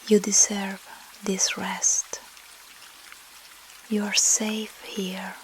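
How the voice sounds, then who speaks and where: Russian accent woman doing asmr sleep hypnosis, soft breathy whisper, super slow, rain in the background. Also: mid-range voice, a little hiss or hum, rough recording. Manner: slow, soothing, long pauses between short phrases. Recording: steady rain sound behind voice.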